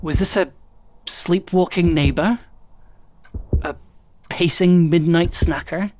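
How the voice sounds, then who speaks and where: An actor playing an exhausted, anxious restaurant worker in an audio drama monologue, with a hesitant, halting delivery that repeats words. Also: mid-range voice, steady tone, darkish tone, a little hiss or hum, lightly acted. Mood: uneasy. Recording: music behind the voice.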